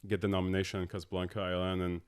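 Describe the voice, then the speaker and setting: A man in his thirties from Abu Dhabi, a tech co-founder talking as a podcast guest in a halting way with many filler sounds. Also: low-pitched, monotone, clear, resonant tone. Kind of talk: casual interview talk.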